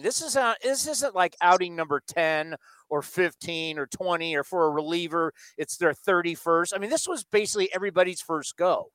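Chatty guy broadcaster in a press box, high smooth voice. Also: a bit bright, dry recording, rough recording. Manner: chatty, enthusiastic. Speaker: radio broadcaster. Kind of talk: broadcast interview.

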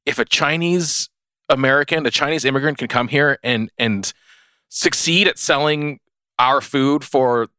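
A man's voice: medium pitch, a little slow, sharp tone, smooth, no room sound, studio quality. A man co-hosting a podcast, explaining his view casually and peppering it with "like". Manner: explanatory, frequent "like". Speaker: podcast co-host.

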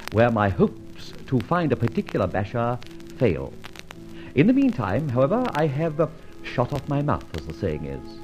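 An actor playing a well-spoken, self-amused gentleman adventurer in an old radio serial, with wry, formal phrasing. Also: medium pitch, poor audio.

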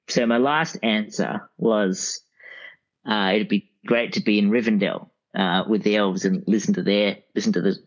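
A man talking casually as a podcast guest, in halting, hesitant phrases. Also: lowish voice, lo-fi sound. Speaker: podcast guest, audiobook expert. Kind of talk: casual conversation.

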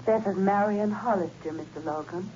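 A woman's voice: medium pitch, normal speed. An actress playing a mysterious, coy, taunting woman heard through a telephone filter in a 1960s radio suspense play. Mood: seductive, taunting. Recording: muffled, noisy telephone line in old radio.

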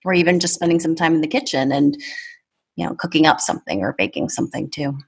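A woman in her thirties talking casually as a podcast guest in a measured, thoughtful way. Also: low-pitched, animated, creaky, husky. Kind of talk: casual conversation.

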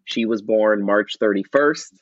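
A man's voice: fairly low pitch, measured pace, no room sound. A man in his thirties from Wisconsin with a noticeable Wisconsin accent, chatting casually as a podcast guest over a call, rambling with lots of fillers. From Wisconsin, USA. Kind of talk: casual chat. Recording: dull, lo-fi audio.

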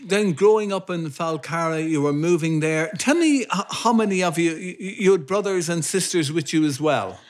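Male interviewer, high pitch, brief prompting questions. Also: expressive, normal speed, few pauses.

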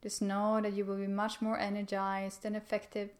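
Calm woman guiding meditation, even tone. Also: mid-range voice. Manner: calm, gentle, unhurried with pauses. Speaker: meditation guide. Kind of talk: guided meditation instruction.

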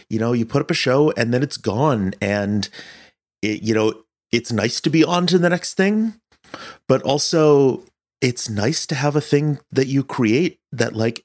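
An American man answering interview questions as a director, explaining his work in a reflective, conversational way. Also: lowish voice. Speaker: director and producer being interviewed. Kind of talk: casual interview.

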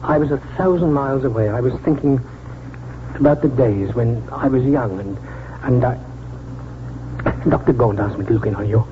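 An actor playing a wistful, aging village doctor in a 1940s radio drama, narrating in a measured, poetic way with deliberate pauses. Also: male voice, mid-range voice, slight background noise, close to an everyday voice. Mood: kindly, reassuring. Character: wistful aging village doctor remembering his lost young wife. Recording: tinny 1940s radio broadcast, loud music.

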